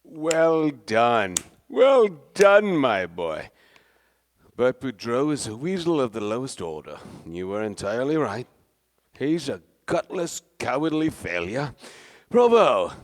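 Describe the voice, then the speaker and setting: A man's voice: mid-range voice, big pitch swings, slow. An actor playing a hammy, avuncular male stage star in a radio play, grandiose and theatrical. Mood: hearty approval.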